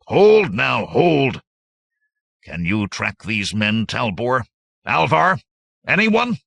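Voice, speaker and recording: A man voicing a gruff, authoritative bandit lieutenant in an audiobook, barking his words. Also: lowish voice, lively intonation, moderate pace, pushed and bright, no room sound, voice pushed up, heavily acted. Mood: commanding. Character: gruff, commanding one-eyed bandit lieutenant.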